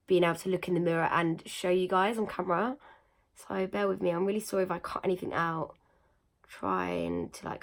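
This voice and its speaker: A young woman with a croaky, slightly nasal voice after being ill, chatting casually through a makeup tutorial with lots of fillers. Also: lowish voice. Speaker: beauty vlogger.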